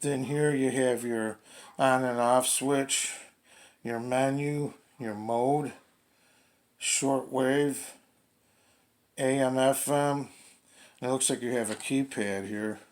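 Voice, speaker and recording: A man giving casual, halting, low-key unboxing commentary, thinking aloud as a hobbyist reviewer. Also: fairly even intonation. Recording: clearly noisy home video recording.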